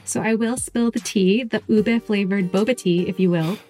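Brief: woman host, mid-pitched voice, chatty and playful. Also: normal speed, a little mellow, soft background music. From United States. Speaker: podcast host. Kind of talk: conversational podcast chat.

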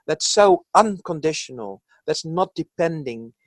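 A man from the Netherlands with a Dutch accent talks in an earnest, thoughtful way, sharing his views as an interview guest. His voice sits fairly high for a man. The sound is dry, with no room around it. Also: lively intonation, a little slow, no room sound. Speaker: psychologist guest. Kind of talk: reflective interview talk.

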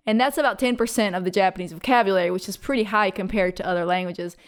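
A woman's voice: mid-range voice, quick pace. Chatty woman explaining things on a podcast. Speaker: podcast guest explaining language. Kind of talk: casual conversational explanation.

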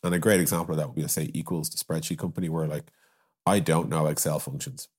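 A man's voice: rumbling low, fairly even intonation, fast, husky. A man explaining his views informally in a casual business discussion, with frequent asides and examples. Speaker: podcast guest, software company strategist.

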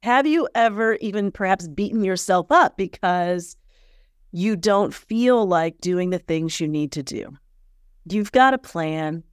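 A woman's voice: medium pitch, lively intonation, unhurried, smooth, no echo, studio quality. Stuffy-nosed woman hosting a motivational podcast. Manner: candid, earnest and encouraging. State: slightly stuffy from sinus pressure. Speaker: podcast host and personal coach.

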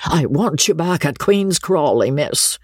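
Gruff old male baronet, played by a woman, husky, rustic speech, pitch swinging widely, blustering and pleading, classic-novel audiobook. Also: expressive, a little slow, no echo, studio quality. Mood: gruff, insistent. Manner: rustic ungrammatical speech, insistent.

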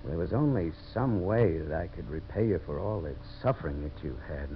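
A man's voice: sits low, lively intonation, brisk. An actor playing a tough, determined American man turned champion of settlers in a 1950s radio drama, intense and earnest. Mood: hushed, earnest. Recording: muffled, hissy old radio broadcast.

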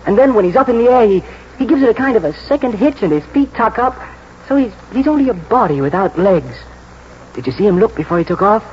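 An actor playing a keen, plain-spoken young English stable lad in a 1940s radio drama, halting and colloquial. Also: male voice, sits high, animated. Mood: excited, admiring. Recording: muffled, noisy 1949 radio broadcast.

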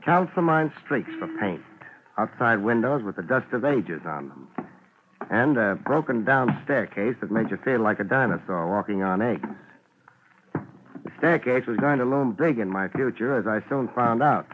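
A muffled vintage radio broadcast with faint noise carries an actor as a world-weary male private investigator. His mid-pitched voice is wry and deadpan, moving easily between hard-boiled narration and wisecracking dialogue. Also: moderate pace, close to an everyday voice. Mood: wry, laid-back.

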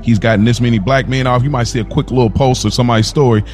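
A man's voice: mid-range voice, fairly even intonation, very fast, soft background music. A man co-hosting a podcast, speaking earnestly in long run-on stretches and repeating himself as he builds a point. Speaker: podcast co-host. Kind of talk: casual chat.

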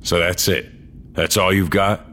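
Very deep, gruff cyborg. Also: male voice, little pitch movement, slow pace, bright, forceful tone, a little hiss or hum, full character voice. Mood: resigned, wry. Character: world-weary, blunt old cyborg man with an aging augmented body. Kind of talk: audio drama dialogue and first-person narration.

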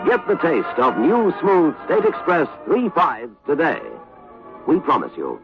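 A man's voice: on the high side, wildly swooping pitch, a little slow. A man reading a 1960s radio commercial as an announcer. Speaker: advertisement announcer. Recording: muffled old radio recording. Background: loud music.

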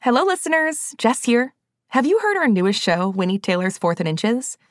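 A woman's voice: a little high, sing-song, normal speed, dry recording. Dry, close-sounding woman podcast promoter. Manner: upbeat, addressing listeners directly. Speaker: podcast host. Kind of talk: scripted promo narration.

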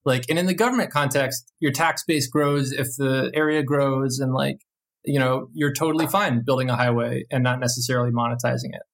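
A man's voice: medium pitch, fairly even intonation, creaky. A man co-hosting a podcast, rambling casually and joking as he goes. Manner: jokey, quick to laugh, rambling. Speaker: podcast co-host.

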